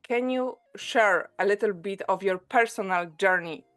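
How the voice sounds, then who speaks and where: A woman hosting a podcast, welcoming her guest and asking questions in a gentle, unhurried way. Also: mid-range voice. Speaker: podcast host. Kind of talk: interview hosting.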